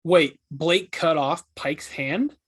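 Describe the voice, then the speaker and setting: A man acting as an eager male podcast host with a slightly high voice. He is curious and easily amazed, putting his questions earnestly in a conversational interview style. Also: slow pace, slightly rough.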